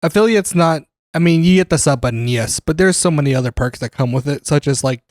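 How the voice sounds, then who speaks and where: Man, laid-back podcast host, slightly high voice, dry sound. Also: animated, fairly quick, darkish tone, no echo. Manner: laid-back, swears, laughs often. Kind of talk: casual podcast chat.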